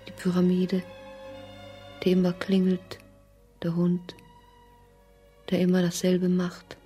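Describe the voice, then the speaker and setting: Hushed detached narrator, slow even delivery, soft dark tone, radio play. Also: female voice, lowish voice. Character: detached female narrator voicing and echoing inner thoughts. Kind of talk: scripted radio drama narration. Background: faint music.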